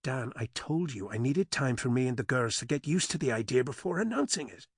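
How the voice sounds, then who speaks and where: A male narrator voicing an anxious widow who is about to remarry, speaking softly and hesitantly. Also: mid-range voice, expressive, fairly quick, breathy edge, no room sound, clean studio sound. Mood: worried. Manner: soft, hesitant.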